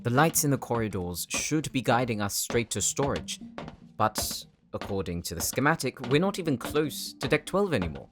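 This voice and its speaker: An actor playing an irritable, theatrical starship engineer in a sci-fi audio drama. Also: male voice, medium pitch, sing-song, normal speed, close to an everyday voice. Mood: uneasy. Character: irritable engineer brother, male starship tech expert. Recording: music bed underneath.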